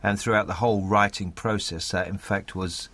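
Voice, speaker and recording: A man's voice: on the low side, fairly even intonation, moderate pace, fairly bright tone. A man gives a thoughtful interview. He is earnest and articulate, building his points through repeated phrases. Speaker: playwright.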